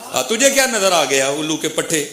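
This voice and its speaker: A man's voice: on the high side, very animated, fairly quick, bright, forceful tone. A man with a Pakistani Punjabi accent preaching in a fiery, informal way, scornful and taunting, with repeated short phrases. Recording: echoey, roomy sound.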